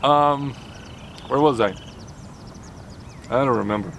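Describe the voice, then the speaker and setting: A man records a relaxed vlog outside, speaking at an unhurried, drawn-out pace in a mid-range voice. He wanders between thoughts and restarts his sentences. Faint outdoor noise sits behind him. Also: mid-range voice, creaky. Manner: slow, rambling, with frequent restarts and chuckles. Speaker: vlogger. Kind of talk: casual reflective vlog. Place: outdoors, near a road.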